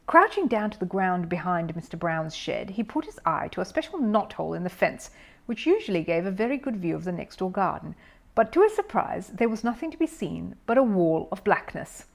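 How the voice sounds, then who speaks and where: A woman's voice: fairly low pitch, big pitch swings, fairly quick, vocal fry. A woman reading a children's story aloud as scripted narration.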